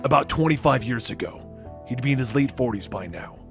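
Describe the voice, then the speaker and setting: A man's voice: mid-range voice, lively intonation, a little fast, creaky. Guy doing a stern masked superhero, firm and terse, comic book story, faint music. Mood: guarded, menacing. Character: stern, determined male masked space superhero.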